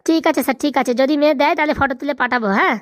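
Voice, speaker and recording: A woman plays an obliging, loyal village helper in a comic cartoon. The high voice races along, eager to please, in dialect, recorded dry. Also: fairly even intonation, racing, dry recording, close to an everyday voice. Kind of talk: comic Bengali cartoon dialogue.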